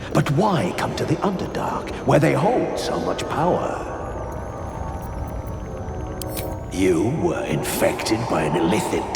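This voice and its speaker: An actor playing an earnest, idealistic and curious dark elf scholar in a video game, gentle and courteous. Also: male voice, lowish voice, gravelly, heavily acted. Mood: amazed, reassuring. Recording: echoey cavern reverb, music behind.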